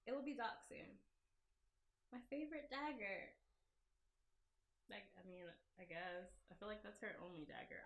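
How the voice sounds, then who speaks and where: A woman's voice: on the high side, a bit bright, roomy sound. A woman doing a casual, giggly let's-play of a visual novel, switching between informal self-deprecating asides and reading the story text aloud. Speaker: game creator playing through own visual novel.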